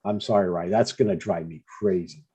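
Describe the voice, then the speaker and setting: Man playing a mellow, husky older dad. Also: low-pitched, brisk, lo-fi sound. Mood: stubborn. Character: chatty, breezy, stubborn older father, a church deacon. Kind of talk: comedy script table read, naturalistic.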